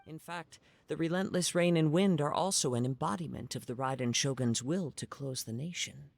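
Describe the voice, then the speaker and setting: A man playing a serene, courteous young wandering samurai in an anime-style game, speaking calmly in formal, measured phrases. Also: a little high, vocal fry, no echo. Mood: solemn.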